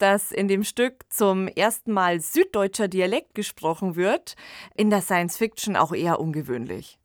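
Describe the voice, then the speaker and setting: A woman's voice: medium pitch, no room sound. Woman podcast host in a dry studio. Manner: informal, explanatory. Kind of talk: conversational podcast commentary.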